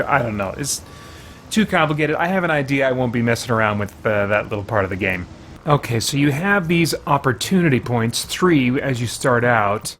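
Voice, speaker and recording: A man's voice: mid-range voice, a bit bright, a little hiss or hum. A man giving casual first-impressions commentary on a video game, conversational and thinking aloud as he goes.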